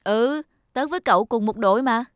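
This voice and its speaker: A woman's voice: on the high side, brisk, music in the background, close to an everyday voice. A woman voicing a young, mischievous and easily flustered schoolboy in a children's cartoon. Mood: excited scheming.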